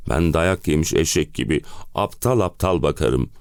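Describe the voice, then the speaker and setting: A man's voice: rumbling low, lively intonation, normal speed, vocal fry, clear, resonant tone, no room sound, studio quality, full character voice. A male actor playing an exasperated, self-pitying middle-aged husband in a theatrical monologue, with melodramatic, comic complaining. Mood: weary sarcasm. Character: exasperated, hen-pecked middle-aged husband ranting about summer-house misery. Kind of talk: theatrical one-man play monologue.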